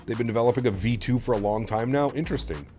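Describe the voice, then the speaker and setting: A man's voice: mid-range voice, fast talker, dark tone. Easygoing man streaming, music behind. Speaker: flight sim streamer. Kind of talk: casual livestream chat with viewers. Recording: music in the background. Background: background music.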